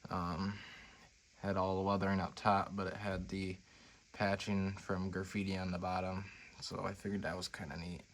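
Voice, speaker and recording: An American man hosting a hobby video in casual, unscripted talk, with a slightly raspy voice and a low-key, plain-spoken manner. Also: flat delivery, moderate pace, poor audio. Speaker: model railroad video host.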